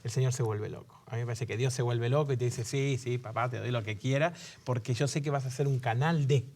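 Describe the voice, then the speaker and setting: A man's voice: mid-range voice, steady tone, a little fast, darkish tone. Man, Bible discussion co-host, joking, reads scripture. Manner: joking, uses voseo, reads scripture aloud. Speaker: co-host of a Bible study show.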